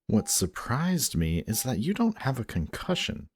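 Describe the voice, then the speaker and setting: A man's voice: medium pitch, huge pitch swings, measured pace, husky, exaggerated voice. A man acting a sarcastic, guarded villain in an audio roleplay, with a snarky, casual delivery that grudgingly shows care. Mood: smug, needling. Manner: snarky, dry, casual teasing.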